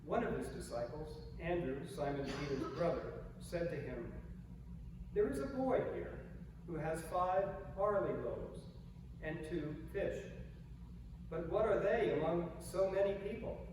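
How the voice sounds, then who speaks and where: A soft-spoken male pastor reading scripture and preaching a sermon in a gentle, measured way in a large old church sanctuary. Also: a little high, animated. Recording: echoey church hall from far off, noisy.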